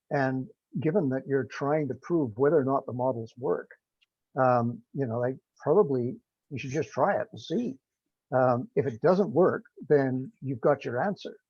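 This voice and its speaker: A man's voice: medium pitch, moderate pace, a little mellow, breathy edge, no room sound. A man talking informally in a technical teleconference, hesitant, rambling and self-deprecating, over a call connection. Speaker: non-scientist project participant in a group technical call. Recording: dull lo-fi conference call, dry.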